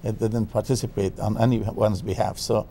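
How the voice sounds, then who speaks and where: A man from Afghanistan, a guest expert in a TV interview, speaking haltingly with many fillers and restarts. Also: lowish voice, little pitch movement, vocal fry, dark tone, slight background noise.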